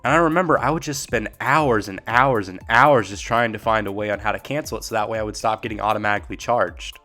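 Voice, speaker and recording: Slightly hoarse young male vlogger. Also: on the low side, fast talker, bright, forceful tone, faint music behind. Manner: rambling and candid. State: slightly hoarse, getting over a cold. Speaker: YouTube host. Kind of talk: casual commentary vlog.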